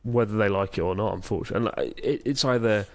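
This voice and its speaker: A man talks things through on a podcast, rambling and wry, now and then swearing offhand. His voice sits fairly low. He is recorded close on a dry mic with no room sound. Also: fast talker, no room sound. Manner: rambling, sardonic, swears casually. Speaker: podcast co-host. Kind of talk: casual podcast chat.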